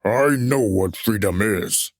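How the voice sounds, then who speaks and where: A man's voice: lowish voice, slow, no room sound, clean studio sound. A man playing a brash, smugly patriotic character in a theatrical puppet show, with a blustering, cocksure manner. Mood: dismissive, smug. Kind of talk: theatrical puppet musical acting.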